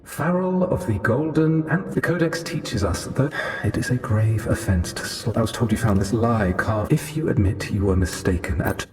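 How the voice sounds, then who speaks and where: A man plays a stern religious official in a video game, low-voiced and formally admonishing. The words ring through a big reverberant hall, with music underneath. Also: normal speed, darkish tone, close to an everyday voice. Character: stern male religious official accusing a heretic. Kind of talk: video game character dialogue.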